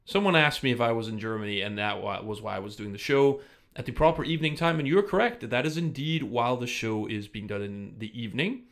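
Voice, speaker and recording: Man, gravelly voice, chatty and rambling, stream host, close studio mic, no pop filter. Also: mid-range voice, lively intonation, fairly quick, a bit bright. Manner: rambling, self-interrupting, chatty. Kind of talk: casual live-stream chat. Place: room in Germany. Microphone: studio microphone without pop filter.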